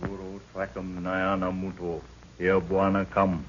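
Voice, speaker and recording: An actor playing a loyal, terse African guide speaking broken pidgin English in a 1930s radio drama. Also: male voice, deep voice, completely flat delivery, measured pace. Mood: urgent, submissive. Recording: scratchy, muffled 1930s radio recording.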